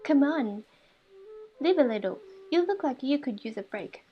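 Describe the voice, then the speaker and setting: Woman reading a lively, high-voiced student girl. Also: moderate pace, lo-fi sound. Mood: upbeat, coaxing. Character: outgoing, argumentative, encouraging young female literature student. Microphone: earphone mic. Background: game music.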